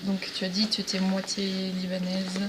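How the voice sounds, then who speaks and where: Flat-voiced woman interviewer. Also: mid-range voice, noisy background. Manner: halting, rephrasing questions. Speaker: interviewer, podcast host. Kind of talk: casual interview questions.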